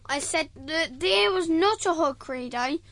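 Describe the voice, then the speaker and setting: A woman's voice: very high voice, expressive, measured pace, fairly bright tone, no echo, heavily acted. A woman playing a stubborn, plain-spoken constable in a Shakespeare performance. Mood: insistent. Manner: stubborn, insistent. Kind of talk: Shakespeare stage acting.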